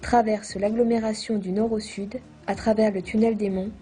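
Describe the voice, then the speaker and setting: A woman reading a scripted documentary narration in a measured, formal manner. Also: medium pitch, little pitch movement, dark tone, soft background music.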